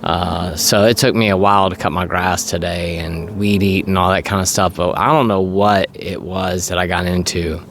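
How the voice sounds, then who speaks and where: A middle-aged man talks casually in a low, raspy voice that sounds worn by allergies. He rambles conversationally as he would in a personal vlog, with clear noise behind him. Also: steady tone, normal speed, few pauses, fairly bright tone. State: congested by allergy, voice going, clearing throat. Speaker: vlogger.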